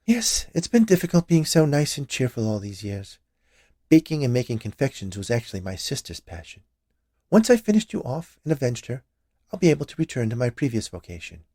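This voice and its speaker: A man's voice: mid-range voice, sing-song, brisk, dark tone, dry recording, clean studio sound, pitched above the performer's own voice. A man voicing a wicked, sweetly menacing witch in a storybook tale. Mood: gloating menace. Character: wicked old witch, sweetly menacing, former potion maker.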